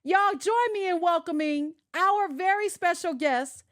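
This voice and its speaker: A woman's voice: very high-pitched, measured pace, a bit bright, dry recording, clean studio sound. A woman hosting a radio show in a casual, chatty way, speaking directly to her listeners. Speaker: radio and podcast show host.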